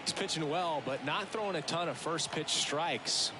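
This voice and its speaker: Baseball game color commentator, a guy acting, conversational analysis, bit high pitched, really noisy. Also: moderate pace, natural voice. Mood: analytical. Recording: game commentary under very loud noise.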